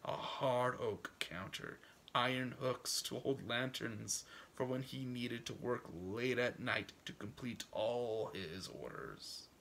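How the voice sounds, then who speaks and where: A man reading a story aloud as a proud, stubborn old farmer, folksy and musing, with a gravelly voice that drifts into hushed asides. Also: medium pitch, animated, takes it slowly, slight background noise, full character voice. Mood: wistful pride. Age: older. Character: proud, wistful, stubborn old farmer dreaming for his son. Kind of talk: dramatic audiobook reading in first person.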